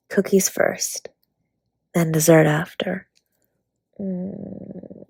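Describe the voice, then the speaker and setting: A woman playing a flirty, affectionate dragon baker spouse in a romantic roleplay audio, with a breathy, husky, teasing delivery. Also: lowish voice, a little mellow, no echo, heavily acted. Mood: flirty, intimate. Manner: teasing, intimate, often slipping into breathy whispers.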